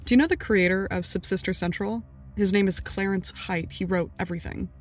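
An actor playing a sardonic podcast sidekick and investigator in an audio drama, with a dry delivery. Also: male voice, sits high, steady tone, fairly quick, soft background music.

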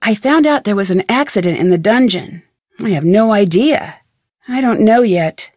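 A woman's voice: medium pitch, lively intonation, moderate pace, a little mellow, dry recording, clean studio sound, natural voice. A young woman voicing a friendly, curious, plucky amateur detective in a video game, with earnest, conversational delivery. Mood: thoughtful.

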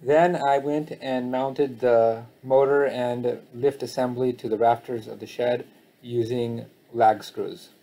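A man's voice: medium pitch, steady tone, slow, dark tone, low-quality recording. A man narrating a home DIY project step by step in a plain, matter-of-fact way, with the odd filler. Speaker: DIY channel host. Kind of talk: casual DIY voice-over walkthrough.

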